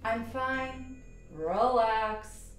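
A woman's voice: fairly high pitch, extremely slow. A woman playing a brusque, defiant doctor in a radio drama. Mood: irritated, defiant. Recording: very echoey room, faint music.

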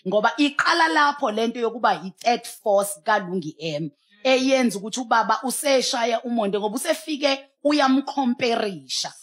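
An emphatic South African woman in a studio talk setting, close on a headset mic. Her pitch moves around in a lively way as she exhorts like a pastor, switching now and then into English. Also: normal speed. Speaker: pastor and talk show panelist. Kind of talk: animated opinionated talk, mixing in English.

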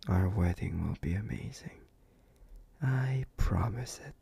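A man plays a smug alpha werewolf boyfriend in a romance roleplay. He speaks very slowly in a gravelly, intimate voice that drops toward whispers, devoted and possessive. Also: sits low, mellow, heavily acted. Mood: tender, pleased. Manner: slow, intimate, partly whispered, suave and condescending. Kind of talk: ASMR romance roleplay acting.

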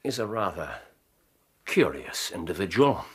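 An actor with an English accent playing an incisive, sardonic, self-assured gentleman detective in a radio drama, speaking precisely. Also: low voice, very animated, measured pace, husky, heavily acted. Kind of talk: British radio drama acting.

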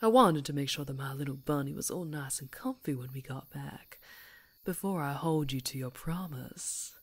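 A woman playing a female werewolf in an intimate ASMR roleplay, predatory yet tender, coaxing in a breathy voice that often drops to whispers. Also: sits low, moderate pace. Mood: affectionate, playful.

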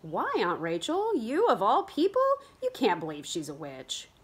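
A woman's voice: medium pitch, wildly swooping pitch, normal speed, husky. A woman voicing a spirited, headstrong teenage girl who pleads earnestly, reading from a novel. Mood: earnest pleading.

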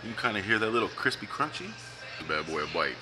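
A man's voice: on the low side, big pitch swings, brisk, music in the background. A man, reviewing food in a casual, joking vlog while eating at a restaurant. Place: restaurant dining room, indoors.

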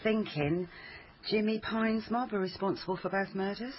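A dull-sounding radio drama recording with faint noise carries an actress as a female police liaison officer. She speaks naturally in a medium pitch, persistent and probing as she works through her questions. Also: normal speed, lightly acted. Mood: insistent. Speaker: actor in a crime drama.

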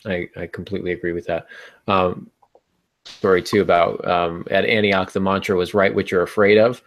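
A man's voice: low voice, flat delivery, normal speed. A middle-aged man hosting a casual panel discussion on writing, conversational and thinking aloud, on a video call with earbuds from a small home room. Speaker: podcast host. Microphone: earbud headset on a video call.

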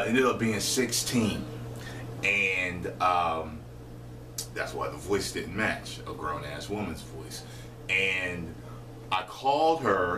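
A man in his thirties telling a story to camera in a casual, animated, profane way, with slang, in a small home kitchen. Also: low voice, distant mic. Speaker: vlogger. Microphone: camera a few feet away. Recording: roomy echo, voice a bit off-mic, faint hiss.

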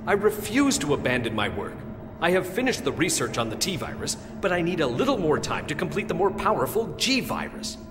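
An actor playing a proud, agitated, obsessive scientist in a video game, indignant and emphatic. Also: male voice, fairly high pitch, big pitch swings, brisk. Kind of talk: video game cutscene acting. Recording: long reverb, music behind.

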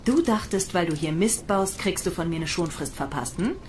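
Woman playing a bossy sarcastic army sergeant lady, very animated, barking, sci-fi audio drama, bit of noise. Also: lowish voice, moderate pace, lightly acted. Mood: scornful. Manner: scornful, barking, mocking. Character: harsh, sarcastic, domineering female military sergeant. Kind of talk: German science fiction radio drama.

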